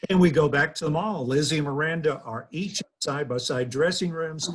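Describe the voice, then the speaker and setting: A man with a husky voice narrating stage directions for a table read. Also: on the high side, brisk, slight background noise.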